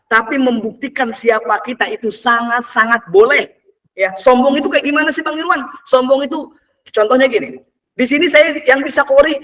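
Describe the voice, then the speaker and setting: A man in his early twenties giving an energetic motivational talk to an audience, repeating phrases and calling out to the crowd. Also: sits high, far from the mic, poor audio. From Indonesia. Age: 20s. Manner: energetic, repetitive, calls out "teman-teman" often. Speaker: motivational speaker.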